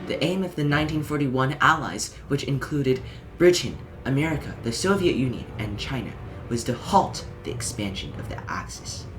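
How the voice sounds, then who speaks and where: A man's voice: mid-range voice, low-quality recording. A man reading a scripted educational narration in short, emphatic phrases. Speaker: audiobook narrator.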